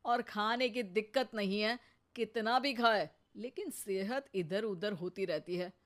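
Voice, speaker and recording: A woman acts out an elderly father-in-law in a comic family cartoon. He talks slowly in a wry, teasing way. His delivery is sarcastic and mocking. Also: medium pitch, lightly acted.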